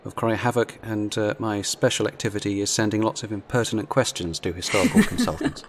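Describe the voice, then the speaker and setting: A man, a writer, talking casually and a little haltingly as a podcast panelist. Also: on the low side, a little hiss or hum. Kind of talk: casual conversational interview.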